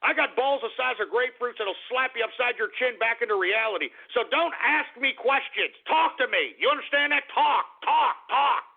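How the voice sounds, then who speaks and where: A man's voice: extremely high pitch, animated, moderate pace, creaky, few pauses. A man hosting a call-in radio talk show, ranting and insulting callers in an abrasive way. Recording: phone-like narrow band.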